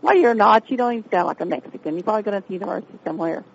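A woman's voice: mid-range voice, rapid-fire, gravelly, slightly rough, muffled recording. A woman on the phone who has been pranked, firmly and indignantly denying every accusation. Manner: indignant, firm denials. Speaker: prank call target. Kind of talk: phone argument.

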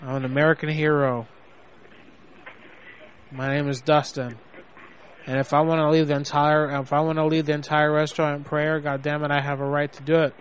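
A man's voice: fairly high pitch, flat delivery, a little fast, pauses often, slight background noise, close to an everyday voice. A man playing an indignant caller who poses as an army veteran in a prank phone call. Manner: indignant, blustering, self-righteous. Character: angry man posing as a boastful army veteran.

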